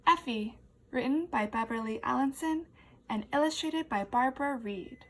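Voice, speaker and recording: A woman's voice: high voice, moderate pace, a bit bright. A woman teacher reading a children's story aloud expressively and asking young listeners questions.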